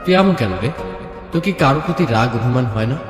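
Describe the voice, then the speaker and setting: A man's voice: medium pitch, animated, fast, natural voice. A man playing a curious, blunt young college friend in an audio story, teasing in colloquial speech. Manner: colloquial, nosy. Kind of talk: Bengali audio story acting. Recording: echoey long reverb, music bed.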